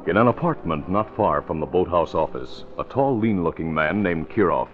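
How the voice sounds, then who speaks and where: A man's voice: low voice, expressive, normal speed, over music. A man with an American accent doing scripted vintage radio announcing. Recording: dull vintage radio recording.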